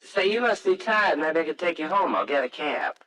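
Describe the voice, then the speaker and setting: An actor playing a bashful but brash young reporter and eager suitor in an old-time radio drama, speaking haltingly. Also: male voice, a little high, big pitch swings, fairly bright tone. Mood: eager, awkward. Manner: hesitant, halting.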